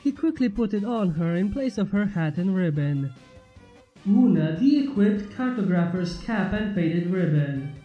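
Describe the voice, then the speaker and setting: A woman's voice: fairly low pitch, lively intonation, unhurried, slightly rough, muffled recording, faint music behind. A woman reading fan fiction aloud as a scripted story narration.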